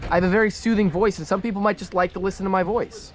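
A man's voice: sits high, steady tone, very fast. A young man filming outdoors, giving playful, rambling commentary as he teases the people around him. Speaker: camera operator giving commentary. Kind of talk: casual joking commentary. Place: outdoor parking lot by a boat on a trailer. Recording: outdoor camera mic with noise.

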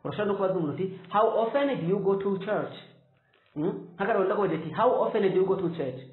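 A man, teaching English phrases at a whiteboard and saying the examples slowly and clearly. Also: sits high, animated. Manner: slow, drawn-out model phrases. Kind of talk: whiteboard language lesson. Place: small room with whiteboard. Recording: echoey room, tinny phone-like sound.

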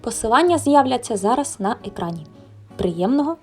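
A woman's voice: a little high, sing-song, brisk, darkish tone, soft background music. A young woman narrating a personal vlog in a candid, colloquial, conversational way. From Ukraine. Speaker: illustrator and art vlogger.

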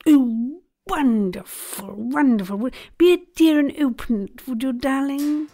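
A woman performs a flirtatious Victorian society actress in a radio play. Her voice is high and her words come slowly, with grand, coquettish flourish. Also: warm tone. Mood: gushing, delighted. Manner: theatrical, coquettish. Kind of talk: audio drama acting.

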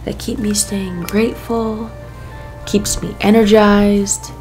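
Young woman, casual vlog narrator, upbeat and candid, music clearly audible underneath. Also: mid-range voice, level tone, slow. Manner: upbeat, open and candid about hardship. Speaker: vlogger. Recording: voice-over over clear background music.

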